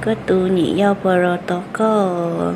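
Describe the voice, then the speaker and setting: A woman's voice: fairly low pitch, steady tone, measured pace, gravelly, noisy background. A woman voicing a female character in a family drama cartoon, in dramatic dialogue. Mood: conversational.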